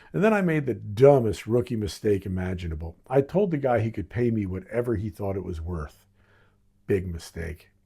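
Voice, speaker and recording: A man's voice: mid-range voice, creaky, mellow, slightly rough. An American man in his sixties from Baltimore reads his own memoir as scripted narration, with wry, dramatic, punchy phrasing. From Baltimore, United States. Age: 60s. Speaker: author reading own memoir.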